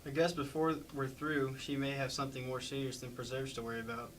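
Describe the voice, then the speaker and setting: A man's voice: medium pitch, little pitch movement, brisk, a bit bright, lightly acted. A man playing a condescending, sarcastic county attorney with a patronizing, probing manner in an amateur staged play reading. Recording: distant mic with faint background noise.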